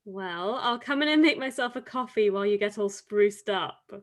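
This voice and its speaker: A woman's voice: medium pitch, moderate pace, lightly acted. An actress playing a brash, sarcastic woman with teasing swagger in a dramatic script read-through. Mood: mocking.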